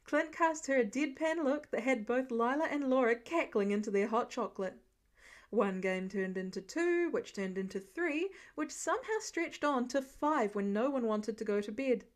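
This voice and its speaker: A woman with a high voice reads prose fiction aloud. Her delivery is expressive, scripted storytelling in the manner of an audiobook. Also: moderate pace. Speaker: audiobook-style fan fiction reader.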